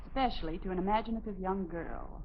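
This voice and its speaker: An actress playing a gentle, anxious suburban mother in 1950s radio comedy, earnest and proper. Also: medium pitch, fast talker, close to an everyday voice. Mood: worried, coaxing. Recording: muffled, noisy old radio broadcast.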